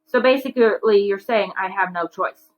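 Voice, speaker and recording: A woman's voice: mid-range voice, normal speed, highs cut off, close to an everyday voice. A woman voicing a defiant, reluctant adolescent boy in a children's storybook, arguing and whining. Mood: whining protest.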